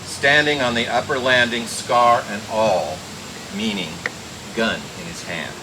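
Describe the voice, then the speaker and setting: An actor playing a tough, playful private detective with a wry, flippant delivery in an old-time radio drama. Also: male voice, medium pitch, unhurried, close to an everyday voice. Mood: tense narration. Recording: noisy old radio recording.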